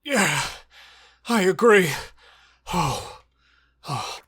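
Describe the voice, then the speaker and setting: A man's voice: high voice, big pitch swings, drawn-out words, a bit bright, husky, exaggerated voice. A man playing a wounded but protective male killer in a horror audio drama, strained, emotive and urgent. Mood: exhausted, concerned.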